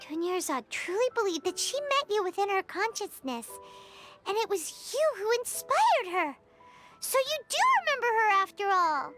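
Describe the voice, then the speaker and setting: A woman voicing a small, excitable, talkative fairy companion in a video game, with a squeaky, childlike, earnest delivery. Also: normal speed, over music. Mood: questioning. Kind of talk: English video game dub acting.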